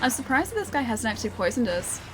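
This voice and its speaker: An Australian woman streaming a video game, chatting casually and reading viewer comments, with a slightly croaky voice. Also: on the high side, big pitch swings, fast talker, background noise. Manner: chatty, rambling, frequent ums and laughs. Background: loud game music.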